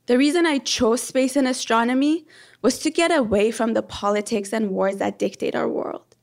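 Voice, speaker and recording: A woman's voice: a little high, steady tone, normal speed, gravelly. Earnest young woman telling a personal story. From Iran. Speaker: storyteller, PhD candidate. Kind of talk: first-person stage storytelling.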